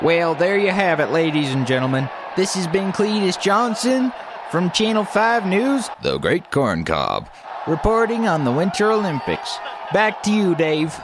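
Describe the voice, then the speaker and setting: A man's voice: sits high, huge pitch swings, measured pace, a crowd in the background, noisy background, pitched above the performer's own voice, full character voice. A man playing a bombastic, earnest TV field reporter giving a silly mock news sign-off.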